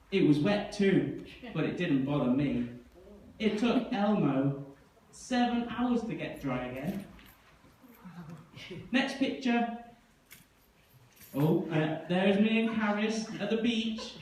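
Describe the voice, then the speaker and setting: In a very echoey room, some way from the mic, a performer plays a cheeky puppet boy. He brags theatrically in slow, swooping speech, showing off as the star of a children's puppet show. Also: mid-range voice, a little mellow. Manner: bragging, theatrical, exaggerated. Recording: echoey room, distant mic, faint noise.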